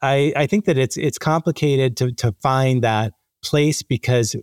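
A man being interviewed, speaking conversationally and haltingly as he thinks aloud with repeated false starts. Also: medium pitch, darkish tone, clean tone, dry recording, studio quality. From born in the Dominican Republic. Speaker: interview guest, magazine editor.

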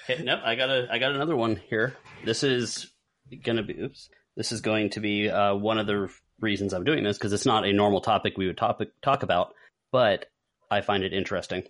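Deep-voiced man chatting as podcast host. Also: fairly even intonation, brisk, a bit bright. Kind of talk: casual panel chat.

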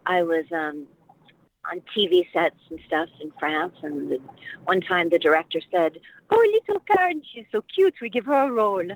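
A woman's voice: lowish voice, animated, normal speed, slight background noise, poor audio. A middle-aged woman from Washington, D.C., an actress and dialect coach, talking casually as an interview guest in a rambling, reflective way with many fillers.

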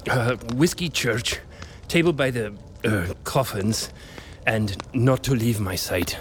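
A man playing a muddled, hesitant underling who speaks haltingly and unsurely in an audio drama. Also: medium pitch, a little hiss or hum, close to an everyday voice. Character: hesitant, muddled male underling obeying orders. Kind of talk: scripted audio drama acting.

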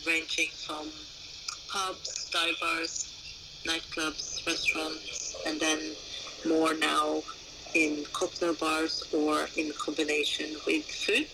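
A woman from Norway giving casual interview answers as a guest, haltingly and with frequent pauses. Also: low-pitched, monotone. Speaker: interview guest, bar owner. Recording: clearly noisy, background ambience. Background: background noise of an open bar.

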